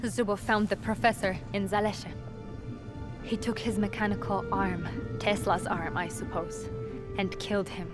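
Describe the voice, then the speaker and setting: An actress playing an earnest, principled young resistance heroine in a video game, speaking with intensity. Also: female voice, medium pitch, flat delivery, moderate pace, music in the background, lightly acted. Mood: grave, resolute. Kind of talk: video game cutscene acting.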